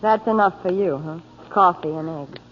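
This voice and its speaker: A woman's voice: on the low side, normal speed, close to an everyday voice. An American actress playing a troubled, guarded young woman in a 1940s radio drama. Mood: bitter, earnest. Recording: muffled, noisy 1940s radio broadcast.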